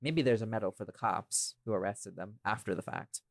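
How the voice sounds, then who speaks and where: A man's voice: fairly low pitch, brisk, vocal fry, no room sound, clean studio sound. A man hosting a casual podcast, explaining his creative choices emphatically and speaking straight to his listeners. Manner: emphatic, addresses listeners directly. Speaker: podcast host and audio drama creator.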